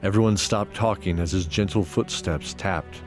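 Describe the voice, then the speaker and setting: A man narrating a scripted fantasy audio drama in a measured, descriptive storytelling manner. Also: low voice, darkish tone. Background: music.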